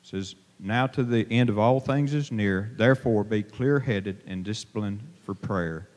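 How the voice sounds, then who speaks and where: A middle-aged man preaching an informal sermon from a pulpit, plain-spoken and halting, with many fillers and restarts. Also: fairly low pitch, a little mellow. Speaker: lay preacher. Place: church sanctuary, at a pulpit. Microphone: handheld microphone held to mouth. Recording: long reverb of a church hall.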